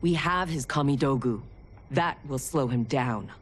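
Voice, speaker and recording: A woman's voice: extremely low pitch, unhurried, a little hiss or hum. An actress playing an earnest, loyal female warrior in a video game cinematic. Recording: cinematic music behind.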